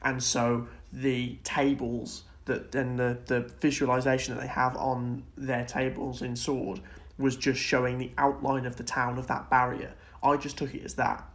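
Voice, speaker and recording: A man's voice: mid-range voice, completely flat delivery, moderate pace, fairly bright tone. A man co-hosting a casual film and TV discussion podcast, speaking in an agreeable, informal way. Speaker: podcast co-host.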